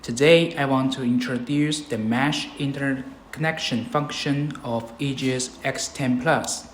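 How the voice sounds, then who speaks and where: Slow-paced man narrating in a roomy space. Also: mid-range voice. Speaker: product demonstrator. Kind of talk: scripted tutorial narration. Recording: roomy echo, background noise.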